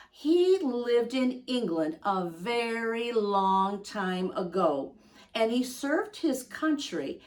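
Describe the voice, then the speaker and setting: A woman hosting storytime for young children, reading aloud and speaking directly to them with gentle questions and simple explanations. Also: medium pitch, far from the mic. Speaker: children's storytime host and reader.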